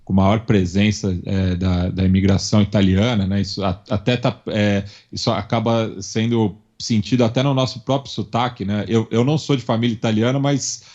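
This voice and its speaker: A man from São Paulo with a Paulistano accent, talking in a halting way full of fillers as a podcast co-host in a casual panel chat. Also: fairly low pitch, steady tone, a little mellow, highs cut off. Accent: Paulistano Brazilian Portuguese. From São Paulo, Brazil. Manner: halting, many fillers and restarts.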